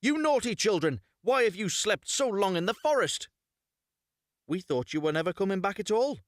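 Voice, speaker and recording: Man voicing a sharp, scolding storybook mother, pitched up. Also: very animated, moderate pace, dry recording, studio quality. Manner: sharp, bossy, scornful. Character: harsh scolding mother in a fairy tale. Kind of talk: storybook character reading.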